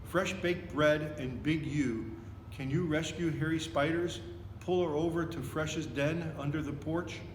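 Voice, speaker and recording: A man voicing a wise, patient, centuries-old tree who gently instructs in a storybook. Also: fairly high pitch, measured pace, close to an everyday voice. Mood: commanding. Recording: echoey, reverberant room with noticeable noise.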